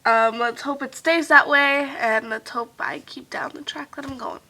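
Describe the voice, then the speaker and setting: Bright-voiced young woman with a stuffed nose. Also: high voice, moderate pace. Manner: rambling and chatty with many fillers, trailing off softly. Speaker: vlogger. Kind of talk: casual vlog chat to viewers.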